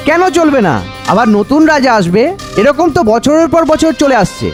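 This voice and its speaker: A ghostly cartoon courtier, played by a man, speaks in a very high voice over faint music. The spirit is deferential but matter-of-fact, laying things out in a courtly way. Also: big pitch swings, normal speed. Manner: explanatory, courtly. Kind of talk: cartoon voice acting.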